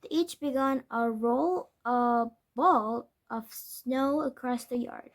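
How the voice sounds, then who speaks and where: A woman reading a children's storybook aloud as narration, with halting delivery and corrected stumbles. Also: fairly high pitch. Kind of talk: scripted children's book narration.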